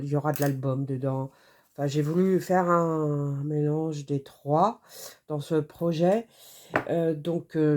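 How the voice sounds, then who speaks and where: A woman's voice: low voice, warm tone. A French-accented woman with a cold, hosting a casual craft tutorial and rambling with many hesitations and self-corrections. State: has a cold.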